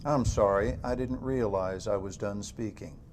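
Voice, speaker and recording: An actor playing a calm male government interrogator who questions in a measured, dry, slightly sarcastic way. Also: on the low side, slight background noise, lightly acted. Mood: cool questioning. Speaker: interrogating agent.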